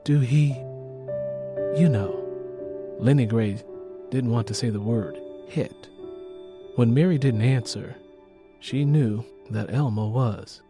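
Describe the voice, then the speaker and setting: A man's voice: medium pitch, slow. A man with an American accent reading dramatic scripted audiobook narration. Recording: rain and music behind the voice.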